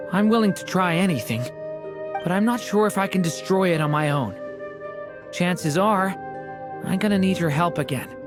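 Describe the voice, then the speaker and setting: A woman voicing a gentle, earnest, vulnerable young dragon boy in a video game, speaking softly. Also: lowish voice. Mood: anxious, resolute. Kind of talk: video game character acting.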